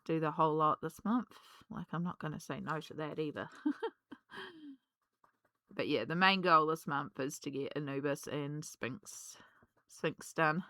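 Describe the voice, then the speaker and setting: A woman, slightly hoarse from a cold, chatting casually and rambling with many ums while she colours. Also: deep voice, measured pace, fairly bright tone, no room sound. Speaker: colouring vlogger.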